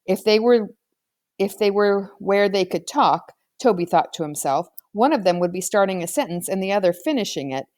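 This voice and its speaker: A woman's voice: medium pitch, darkish tone, no echo, studio quality. A woman reading a children's novel aloud as scripted narration, like an audiobook reader.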